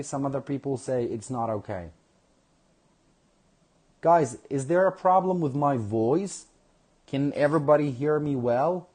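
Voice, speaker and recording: A man teaching a live online English lesson, speaking slowly and repeating phrases for his learners. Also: on the high side, darkish tone. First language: Kurdish.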